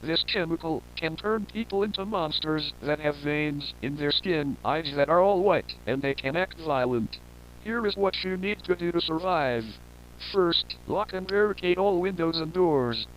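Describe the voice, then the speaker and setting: A man's voice: on the high side, a little slow, lightly acted. Muffled, humming emergency broadcast, acted by a man. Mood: formal, grave. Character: male news reporter giving a grave civil emergency warning. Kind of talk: scripted emergency broadcast announcement. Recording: muffled lo-fi audio with noisy hum.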